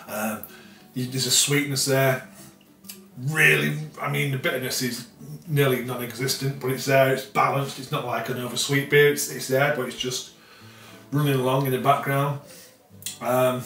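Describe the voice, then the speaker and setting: A man's voice: mid-range voice, a bit bright. A middle-aged man casually reviewing a beer at home in his kitchen, rambling with many fillers and repeated words. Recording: roomy, slightly distant sound with faint music.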